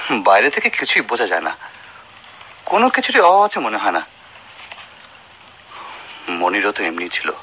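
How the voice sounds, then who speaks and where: A man's voice: fairly low pitch, pushed and bright, exaggerated voice. A man playing a proud, weary doctor in a Bengali radio play, speaking formally, by turns brusque and musing. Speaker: radio drama actor. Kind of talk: dramatic radio play dialogue and soliloquy. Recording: noisy, muffled old radio drama recording.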